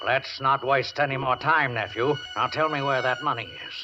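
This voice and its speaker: A man's voice: mid-range voice, moderate pace, close to an everyday voice. An actor playing a sly, menacing older uncle, a carnival man with a folksy, falsely affectionate manner, in an old-time radio thriller. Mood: sly menace. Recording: dull, noisy old radio recording.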